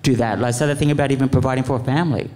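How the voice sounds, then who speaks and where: A man speaking informally as a panelist, earnest and impassioned, in a halting, rambling way. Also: mid-range voice, steady tone, darkish tone. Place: large event venue, live panel. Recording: echoey hall with long reverb.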